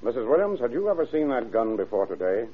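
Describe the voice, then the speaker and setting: An actor playing a shrewd, skeptical detective lieutenant in a clipped, hard-boiled old radio drama style. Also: male voice, medium pitch, big pitch swings, quick pace, phone-quality sound, a little hiss or hum, close to an everyday voice. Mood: probing. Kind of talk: vintage radio crime drama acting.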